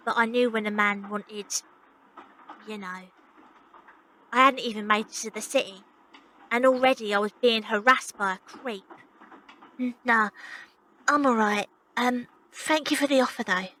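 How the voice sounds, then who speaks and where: A woman's voice: on the high side, sharp tone, a little hiss or hum, poor audio. A young woman playing a plucky Victorian circus runaway who narrates her own story. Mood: guarded, polite. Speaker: lead actress and narrator. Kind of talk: first-person audio drama narration.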